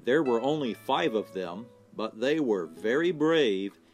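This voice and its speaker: A man's voice: medium pitch, very animated, measured pace. A man reading a children's storybook aloud as storyteller, expressively, lingering on words for effect. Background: soft guitar music.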